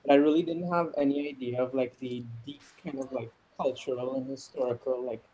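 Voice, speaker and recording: A man's voice: mid-range voice, steady tone, a little fast, warm tone, dull audio, a little hiss or hum. A man from Trinidad introducing himself casually on a video call, with loose, hesitant phrasing. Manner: loose phrasing padded with "like" and "you know".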